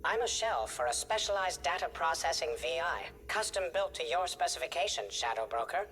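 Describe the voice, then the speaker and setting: A woman's voice: on the low side, lively intonation, fairly quick, fairly bright tone, soft background music. A woman voicing a polite, literal drone artificial intelligence in a video game, formal and officious, with synthetic processing. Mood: formal, dutiful.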